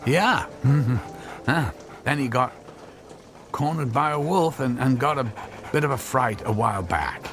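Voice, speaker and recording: Stammering anxious husband, swinging pitch. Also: male voice, expressive, unhurried, pauses often, a bit bright, soft background music. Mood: nervous, evasive. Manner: hesitant, stammering, pleading. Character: anxious, cautious husband, reluctant inventor of a device. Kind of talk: radio drama acting.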